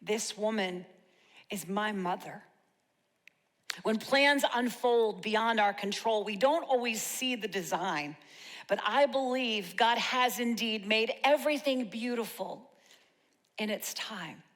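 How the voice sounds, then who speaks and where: A woman in her fifties performing a rehearsed, rhyming spoken-word monologue with dramatic, deliberate pauses. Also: medium pitch. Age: 50s. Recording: long hall reverb.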